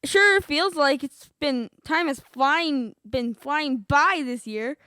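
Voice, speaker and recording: A woman's voice: sits high, slow, bright, forceful tone, no echo. A female middle school student co-hosting a radio show, reading scripted sponsor spots. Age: young adolescent, middle school student.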